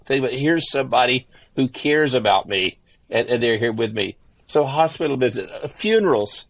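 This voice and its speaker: A man's voice: medium pitch, expressive, normal speed, gravelly, dry recording. A man who is an author and former pastor, speaking earnestly in a podcast interview and often repeating himself as he restarts phrases.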